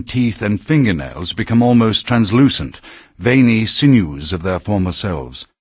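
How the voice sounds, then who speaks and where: A low, husky middle-aged male doctor dictates a game audio log. He is measured, formal and grave, recorded dry and close. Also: no room sound. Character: grave, clinical male medical supervisor dictating reports. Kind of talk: video game voice acting, formal dictated report.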